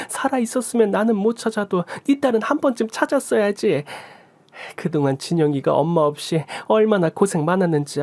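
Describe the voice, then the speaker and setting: A man's voice: high voice, darkish tone, no echo, pitched above the performer's own voice. A man voicing an emotional older mother-in-law who sighs, scolds and pleads in a story drama reading. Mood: scolding.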